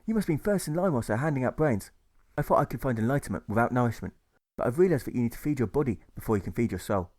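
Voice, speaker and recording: A man's voice: mid-range voice, lively intonation, racing, a little mellow, close to an everyday voice. A man voicing a meek, starved prisoner in an audiobook, polite and earnest. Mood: earnest, grateful.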